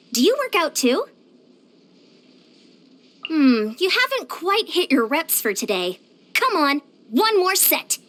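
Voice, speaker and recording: Game role: pint-sized youthful girl trainer, high and animated, peppy, earnest motivator. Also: exaggerated voice. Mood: upbeat, encouraging. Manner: peppy, encouraging, earnest, self-disciplined. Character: short, youthful, upbeat female fitness coach of superhuman strength. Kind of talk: video game character voice lines.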